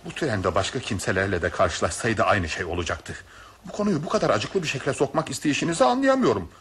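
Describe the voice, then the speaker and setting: A man's voice: on the low side, sing-song, fast, a bit bright, a little hiss or hum. An actor playing an argumentative, self-protective male train passenger in a radio play, dismissive and formal. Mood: firm, argumentative. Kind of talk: Turkish radio theatre acting.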